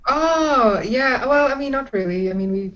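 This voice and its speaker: A woman's voice: high voice, lively intonation, normal speed, fairly bright tone, lo-fi sound. A young woman from Pakistan, a stand-up comedian, chatting casually from home, laughing often and restarting her sentences. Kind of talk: casual chat. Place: at home in isolation.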